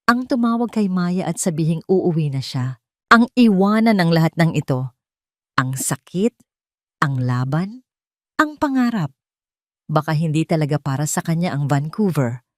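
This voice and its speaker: A woman with a Filipino accent giving a dramatic scripted story narration in solemn, deliberate phrases. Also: deep voice, animated, no echo, clean studio sound.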